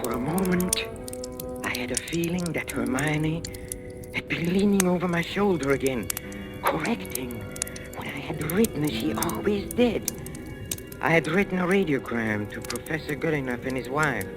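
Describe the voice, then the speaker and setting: A man's voice: on the high side, lively intonation, moderate pace, natural voice. Man acting a furtive, haunted professor, music underneath. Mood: brooding, narrating. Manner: hesitant, stammering, chuckling. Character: furtive professor, nervously jolly, haunted wife-killer. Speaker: radio drama actor. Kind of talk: 1940s radio suspense acting. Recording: vintage radio recording, music underneath.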